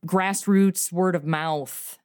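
A woman's voice: fairly low pitch, steady tone, a little mellow, clear, resonant tone, no room sound, clean studio sound. A woman talking eagerly and chattily about her comedy podcast as an interview guest. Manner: eager, chatty, quick to laugh. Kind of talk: casual interview chat.

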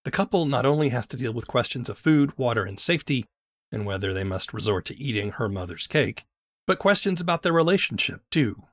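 A man hosting a podcast, reading a scripted introduction in a measured narrating style. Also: mid-range voice, animated, fairly bright tone. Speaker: podcast host.

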